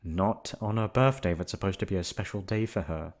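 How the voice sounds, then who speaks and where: A man's voice: low voice, a little fast. Protective young man in a storybook fantasy, done by a male narrator, firm and direct. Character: protective young male friend guarding a runaway princess.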